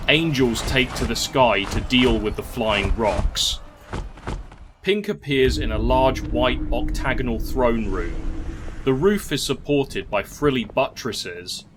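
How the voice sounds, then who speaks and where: A man narrates a fantasy tale at an unhurried pace, in a measured literary manner. His voice sits at an average male pitch, and music plays clearly behind him.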